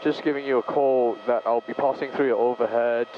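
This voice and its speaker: A man, a private pilot, making courteous, measured procedural radio calls on a headset in a small aircraft. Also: mid-range voice, fairly even intonation. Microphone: aviation headset. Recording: muffled cockpit audio with engine noise.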